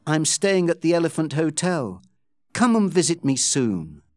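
A man voicing a flirtatious, self-pitying adventuress in a storybook, with a coy, theatrical, drawn-out delivery. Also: a little high, big pitch swings, no room sound. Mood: inviting.

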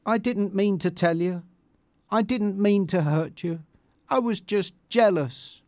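A man's voice: sits high, lively intonation, measured pace, a little mellow, dry recording, studio quality, voice pushed up. A man voicing a guarded, jealous young woman with an earnest, defensive manner. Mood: remorseful.

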